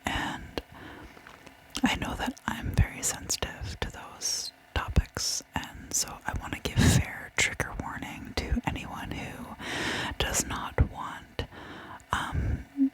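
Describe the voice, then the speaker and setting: Slow, whispering ASMR woman. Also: rough recording. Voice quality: breathy unvoiced whisper. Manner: soft-spoken, repeats words gently. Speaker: host of a true crime ASMR channel. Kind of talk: whispered ASMR, chatty to viewers.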